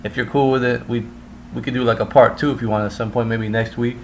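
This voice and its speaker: A man's voice: medium pitch, steady tone, fast, slight background noise. A man interviewing a guest, polite and encouraging in a casual tone.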